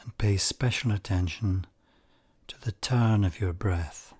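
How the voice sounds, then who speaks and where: A man guiding a meditation, speaking softly and calmly, some phrases nearly whispered. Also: fairly low pitch. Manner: soft-spoken, calm and unhurried, with breathy near-whispered phrases. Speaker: meditation guide. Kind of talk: slow guided meditation.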